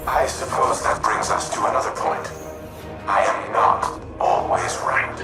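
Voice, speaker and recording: An actor playing a grave, remorseful artificial mind turned humanlike, speaking in formal, deliberate, analytical phrases for a game cutscene. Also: male voice, lowish voice, fairly even intonation. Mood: solemn regret. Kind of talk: scripted game cutscene acting. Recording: echoey, distant, loud music behind.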